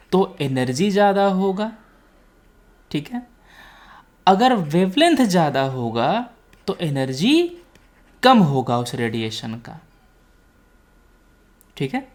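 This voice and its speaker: An Indian-accented woman teaches in a low voice with very lively intonation. She explains step by step at a slow pace, repeating key points and pausing to ask if it is clear. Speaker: teacher, exam coaching instructor. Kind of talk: explanatory lecture with check-in questions.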